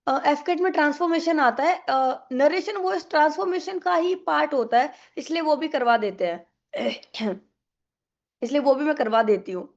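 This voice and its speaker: A woman's voice: high voice, normal speed, highs cut off. A young woman teaching an online exam-prep English class with a sore throat, chatty and coaxing, mixing English into her Hindi.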